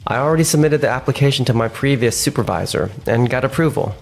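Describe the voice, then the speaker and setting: A man's voice: medium pitch, fast, gravelly, faint music behind. A man playing a polite, conscientious young father who works in an office, speaking earnestly in a manga dub. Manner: courteous, earnest. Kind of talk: scripted manga dub acting.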